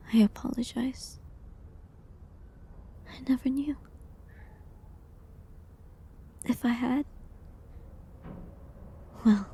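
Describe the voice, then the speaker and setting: Woman acting a breathy, sorrowful condemned witch. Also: medium pitch, dark tone, heavily acted. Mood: tender, regretful. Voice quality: breathy, half-whispered. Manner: slow, hesitant, pleading, slightly formal old-fashioned wording. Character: gentle condemned young woman accused of witchcraft, sorrowful, resigned. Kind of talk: intimate audio roleplay drama in medieval setting.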